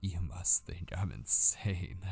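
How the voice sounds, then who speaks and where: A man acting as an obsessive, menacing male kidnapper in an intimate ASMR roleplay, slow and taunting, often dropping into whispers. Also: sits low, animated, vocal fry, darkish tone, a little hiss or hum, heavily acted. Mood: chilling, controlled.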